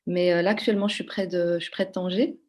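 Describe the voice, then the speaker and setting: A woman's voice: lowish voice, steady tone, fast, a little mellow. A woman from Belgium, a podcast guest, talking in a hesitant conversational way with many fillers and restarts. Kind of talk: conversational interview.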